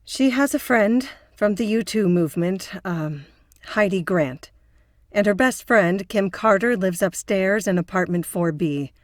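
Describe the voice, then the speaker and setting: A woman's voice: mid-range voice, animated, unhurried, no echo, studio quality, close to an everyday voice. A woman playing an earnest, principled psychotherapist with a prim, concerned manner in an audio drama. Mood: guarded.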